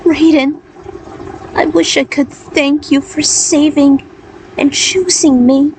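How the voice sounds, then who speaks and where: A woman's voice: high-pitched, fairly even intonation, slow, a little mellow, voice pushed up. A woman voicing an emotional, earnest young female warrior in a story, full of tearful gratitude. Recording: clearly noisy background.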